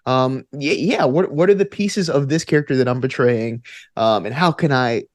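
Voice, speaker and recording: A man's voice: medium pitch, normal speed, no echo, clean studio sound. A man answering as an interview guest, earnest and reflective, thinking aloud with plenty of "like" and "you know". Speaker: podcast guest, actor and tabletop gamer.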